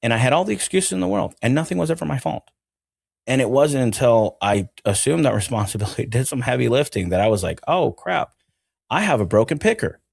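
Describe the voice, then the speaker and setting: A man's voice: medium pitch, quick pace, gravelly, a little mellow, slightly rough, no echo, clean studio sound. A man from Venezuela talking earnestly as an interview guest, reflective and emphatic, repeating phrases to drive them home. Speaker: interview guest, mental healing educator.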